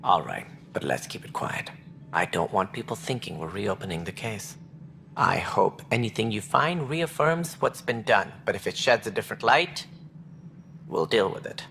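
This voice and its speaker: An actor playing a stern, proud alien administrator in a video game, speaking in formal, measured, clipped phrases. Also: male voice, fairly low pitch, expressive, creaky, pushed and bright, a little hiss or hum, heavily acted. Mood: guarded. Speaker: alien colony director.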